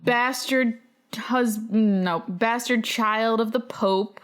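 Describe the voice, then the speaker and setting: A young adult woman co-hosting a podcast in casual banter, opinionated, sweary and quick to interject. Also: on the high side, smooth. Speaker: podcast host.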